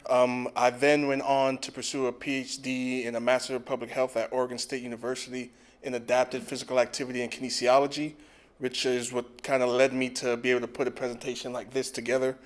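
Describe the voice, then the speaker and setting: A man, a physical therapy professor, giving a relaxed online lecture with frequent fillers. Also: medium pitch, fast. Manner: relaxed, with frequent "um" and "you know". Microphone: video call.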